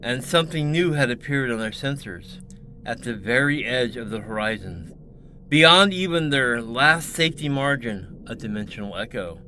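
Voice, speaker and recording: A man narrates science fiction as dramatic scripted storytelling. His voice is bright and forceful at a medium pitch, with faint noise and ambience behind it. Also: lively intonation, moderate pace.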